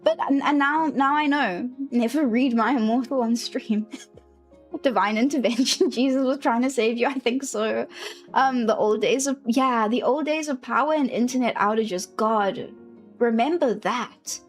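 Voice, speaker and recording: High-voiced South African woman streamer. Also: measured pace, faint music behind. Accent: South African English, with a British-leaning lilt. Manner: rambling, self-correcting, laughs often. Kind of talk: casual chat while streaming a game.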